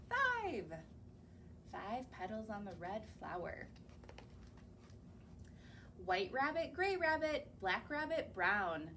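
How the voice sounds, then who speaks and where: A woman's voice: mid-range voice, fairly bright tone, a little hiss or hum. A young woman, a children's librarian, reading picture books aloud to small children and coaxing them with questions. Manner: sing-song, slow, coaxing, asks the children questions. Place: library or home, recorded on camera.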